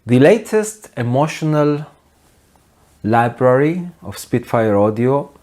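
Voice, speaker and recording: Male video essayist, forties, mid-range voice, dry and deadpan, opinionated. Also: medium pitch, slow. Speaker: host of a music production video channel. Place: small home studio, indoors.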